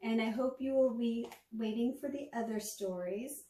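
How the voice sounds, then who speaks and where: A woman in her forties reading a children's picture book aloud, with measured, expressive storytelling. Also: on the high side. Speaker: storytime reader, former teacher. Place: small furnished room at home, indoors. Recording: echoey room, distant microphone.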